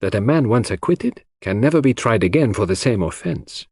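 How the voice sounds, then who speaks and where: A man's voice: fairly low pitch, lively intonation, brisk, darkish tone, breathy edge, dry recording, studio quality. A man voicing a shrewd, self-assured foreign detective who explains in a theatrical, didactic way in an audiobook. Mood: explaining, assured. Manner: theatrical, didactic, sprinkles French phrases.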